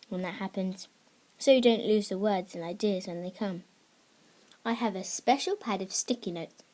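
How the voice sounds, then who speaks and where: A woman with a fairly high voice presents a scripted lesson for young listeners. She teaches creative writing in simple, encouraging language, addressing children directly as their host. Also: darkish tone. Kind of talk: scripted instructional narration for children.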